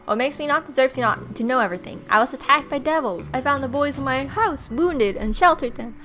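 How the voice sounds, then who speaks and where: A woman's voice: sits high, brisk. Young woman reading a game aloud, music behind. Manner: expressive, dramatic, sarcastic reactions. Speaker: let's play host. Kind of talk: reading visual novel text aloud with casual reactions.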